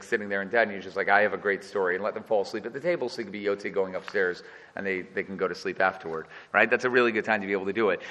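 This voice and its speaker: A man's voice: medium pitch, sharp tone, in a large hall. A man giving an informal, joking Torah class and putting questions to his students. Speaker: Torah teacher.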